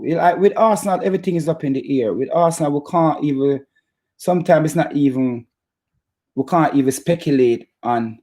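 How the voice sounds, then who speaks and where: A man from Jamaica with a Jamaican accent, hosting a casual football fan chat in a halting, stop-start way and repeating his phrases. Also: mid-range voice, mellow. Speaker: football fan channel host. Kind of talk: casual chat to live viewers about football.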